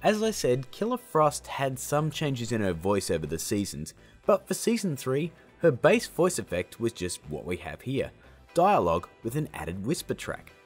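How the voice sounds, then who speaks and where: A man's voice: medium pitch, wildly swooping pitch, moderate pace, soft background music. A man presenting a casual how-to tutorial in an upbeat, chummy manner. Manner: upbeat, chummy, addressing viewers as guys and gang. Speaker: tutorial host.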